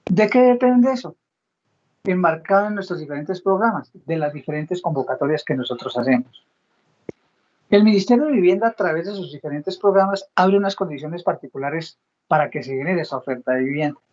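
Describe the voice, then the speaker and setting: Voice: medium pitch, animated, brisk, darkish tone. Didactic official, slightly dull audio. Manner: didactic, asks own questions then answers. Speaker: government housing ministry official. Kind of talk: explanatory talk on housing programs.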